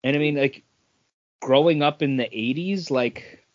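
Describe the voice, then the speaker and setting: A man's voice: a little high, measured pace, dry recording. A middle-aged man co-hosting a podcast, chatting casually about entertainment news in a rambling, anecdotal way. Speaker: podcast co-host. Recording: dull lo-fi sound.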